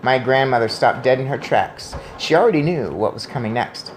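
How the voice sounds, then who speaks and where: Low-voiced woman, very animated storybook reader. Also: moderate pace, slight background noise. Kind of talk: read-aloud narration with informal commentary.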